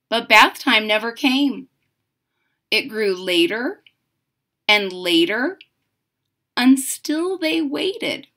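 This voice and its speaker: A middle-aged woman reads a children's story aloud in a bright, high voice. Her pitch swings expressively and she takes her time, with a dramatic, deliberate delivery that explains things simply for young listeners. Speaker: storyteller reading a picture book aloud to children. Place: indoors, small home room.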